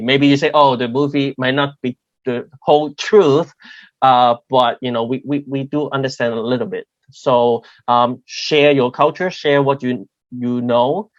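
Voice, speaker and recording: Man with a Cantonese accent, panel guest, halting delivery; dry, muffled call audio. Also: mid-range voice, dry recording. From Hong Kong. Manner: hesitant, many fillers and restarts. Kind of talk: livestream panel discussion.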